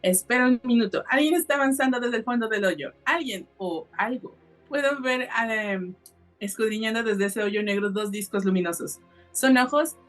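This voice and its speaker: An alarmed radio-play correspondent acted out by a woman reports live over music. The delivery is halting and suspenseful, dramatic in the manner of an old broadcast drama. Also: fairly high pitch, close to an everyday voice.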